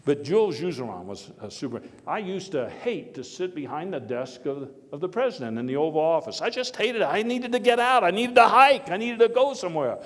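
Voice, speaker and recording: A man's voice: high-pitched, very animated. Man playing hearty Theodore Roosevelt in an echoey hall. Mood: reminiscing, then vigorous, emphatic. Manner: halting, storytelling, wry chuckles, emphatic bursts. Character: Theodore Roosevelt, hearty, opinionated former American president. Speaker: living-history performer giving a talk and taking audience questions. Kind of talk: first-person historical dramatization before an audience. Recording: echoey hall with long reverb.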